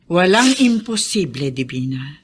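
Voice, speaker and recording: An actress playing a blunt, scolding but encouraging Filipino woman friend in a radio drama, with a husky voice. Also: fairly low pitch, big pitch swings, measured pace, exaggerated voice. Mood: urging.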